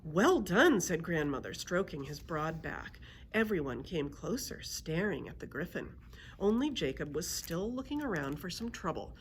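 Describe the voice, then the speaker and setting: A woman reads a children's story aloud as a librarian would. Her voice sits a little low and moves with lively, expressive intonation. Faint noise sits behind her. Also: normal speed.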